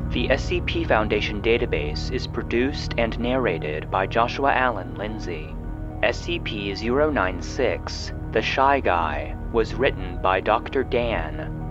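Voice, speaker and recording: A man reading scripted narration and credits as a show's narrator, in a formal, measured announcing style. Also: fairly low pitch, lo-fi sound. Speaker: producer and narrator. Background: music.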